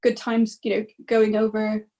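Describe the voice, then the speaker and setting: A woman's voice: mid-range voice, monotone, measured pace, mellow. A woman from Ireland, a researcher and guest, telling stories in a chatty way and acting out what other people said. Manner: chatty, anecdotal, acts out quoted voices. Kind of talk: panel discussion on a video call.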